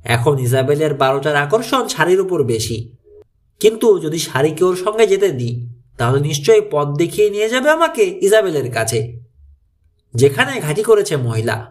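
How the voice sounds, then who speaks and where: Audiobook role: confident young boy sleuth male reader, explains plans decisively in a highish, animated voice. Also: moderate pace. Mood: confident, reasoning. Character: clever, confident teenage boy detective, the planner.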